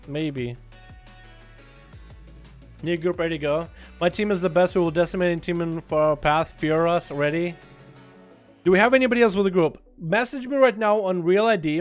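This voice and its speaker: A man with an American accent, a Russian speaker, hosting a livestream of game duels and chatting with viewers. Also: high voice. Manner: excitable play-by-play, shouts at big moments. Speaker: streamer casting game duels. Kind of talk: livestream commentary and chat.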